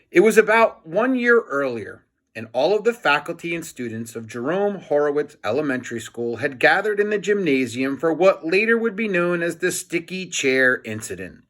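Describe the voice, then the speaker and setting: A man reading a children's book aloud in an expressive storytelling style, like a teacher to a class. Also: on the high side, moderate pace.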